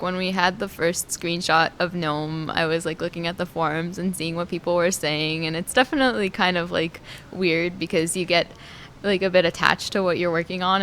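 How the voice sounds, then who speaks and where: A young woman speaks softly and hesitantly, her pitch sitting low for a woman. A faint rumble hums under the recording. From Israel, raised in the United States. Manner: soft-spoken, hesitant, many "um"s and laughs. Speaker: game artist. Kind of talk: interview answers.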